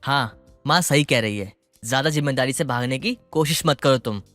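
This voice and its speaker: A man playing a young husband and son in a dramatized moral story, calm, reasonable and matter-of-fact. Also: mid-range voice, normal speed, no room sound, soft background music, close to an everyday voice. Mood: reassuring, firm.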